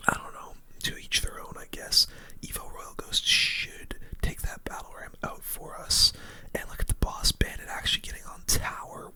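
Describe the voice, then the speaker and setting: A video game streamer giving casual, slangy gameplay commentary in a soft, unvoiced ASMR whisper.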